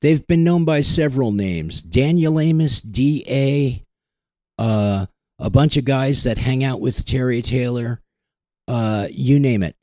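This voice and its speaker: A man hosting a music podcast in a casual, deadpan way, slipping in dry jokes and wry asides. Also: medium pitch, a little slow, no room sound. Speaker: podcast host.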